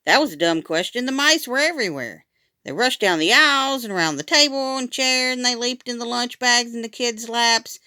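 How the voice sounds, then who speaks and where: A woman reading a children's story aloud in an animated, expressive way, acting out the dialogue as she goes. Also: fairly high pitch, normal speed, smooth, no room sound, clean studio sound.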